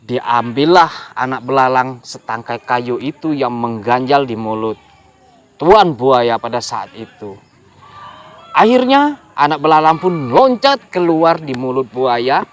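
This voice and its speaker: A man telling a folk tale to children in a lively way, speaking to young listeners directly. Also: mid-range voice, slow. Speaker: storyteller.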